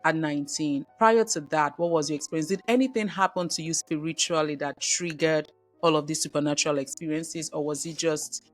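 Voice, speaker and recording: A woman's voice: sits low, steady tone, a little fast, no echo, faint music behind. A woman hosting a podcast, asking questions and encouraging her guest with frequent "mm-hmm" and "wow". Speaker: podcast host. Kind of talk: interview questions and backchannel.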